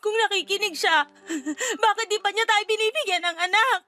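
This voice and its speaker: A woman's voice: very high-pitched, fairly quick, bright, forceful tone. A woman playing an anguished, fearful wife who longs for a baby, speaking in a tearful, pleading voice in a radio drama. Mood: bitter, tearful. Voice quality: tearful, sobbing voice. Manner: pleading, lamenting. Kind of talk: Tagalog radio drama acting.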